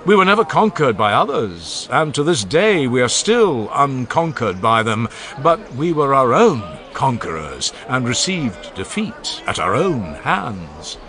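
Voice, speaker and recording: A man's voice: medium pitch, big pitch swings, a little slow, few pauses, a bit bright. A man reading an ancient funeral oration aloud as an audiobook narrator, with solemn, declamatory delivery and strong swings in emphasis.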